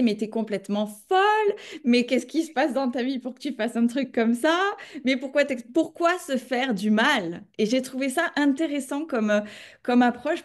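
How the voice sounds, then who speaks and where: A woman's voice: on the high side, a little mellow. A woman hosting a wellness podcast interview, chatty and enthusiastic in her questions. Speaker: podcast host.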